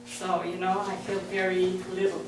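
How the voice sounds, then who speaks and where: A woman's voice: lowish voice, monotone, very noisy. A woman introducing a guest speaker, hesitant with restarts. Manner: hesitant, halting. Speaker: host, board president. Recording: echoey hall, mic far off.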